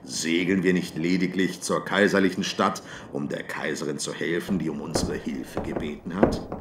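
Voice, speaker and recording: A man voicing a shrewd, commanding old barbarian king in an audiobook, calm and controlled. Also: extremely low pitch, normal speed, reverberant, heavy background noise. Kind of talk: audiobook character acting.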